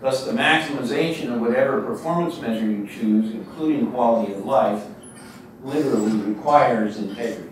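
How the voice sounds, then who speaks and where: Older man lecturing in an echoey hall. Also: mid-range voice. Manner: conversational, emphatic lecturing with restarts. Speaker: guest lecturer. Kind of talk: university keynote lecture. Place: large university lecture hall. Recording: echoey hall, distant mic, faint noise.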